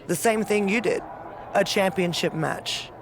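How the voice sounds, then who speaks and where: A woman's voice: mid-range voice, measured pace, close to an everyday voice. A woman playing a cocky, teasing champion wrestler in a video game cutscene. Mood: smug, teasing.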